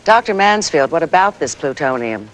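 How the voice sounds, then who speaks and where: A woman's voice: low-pitched, big pitch swings, moderate pace, a little hiss or hum, rough recording. A woman playing a poised television news co-anchor, speaking formally and questioning with care. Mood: poised, serious. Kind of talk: live news bulletin acting.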